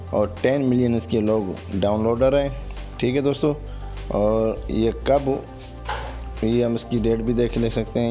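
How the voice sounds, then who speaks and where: A man's voice: on the low side, warm tone. A man hosting a tech tutorial and narrating an app review, often addressing his viewers as friends. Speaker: host of a tech tutorial channel.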